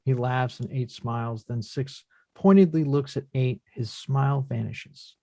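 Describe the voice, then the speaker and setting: A man with a middle-pitched voice reads stage directions as scripted narration. The sound is dry, with the feel of an amateur screenplay table read. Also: a little slow, mellow, no echo.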